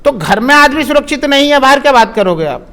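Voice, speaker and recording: A man's voice: extremely high pitch, big pitch swings, quick pace, sharp tone. A man speaking as an interviewee in impassioned political commentary, with an emphatic, indignant, repetitive way of talking. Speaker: interviewee commenting on crime and government.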